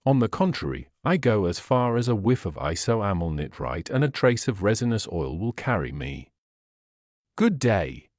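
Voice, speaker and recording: A man voicing a coolly analytical Victorian gentleman detective in an audiobook, speaking in a precise, erudite, dry manner. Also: on the low side, very animated, normal speed, no echo. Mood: analytical, assured. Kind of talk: audiobook character voice.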